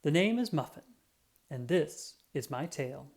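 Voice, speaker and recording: Kids book read aloud, medium pitch voice that moves up and down a lot, dramatic, cat detective narrator full of himself. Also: moderate pace. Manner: expressive, dramatic, acts out sound effects. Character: self-important cat detective telling his tale. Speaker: storybook narrator.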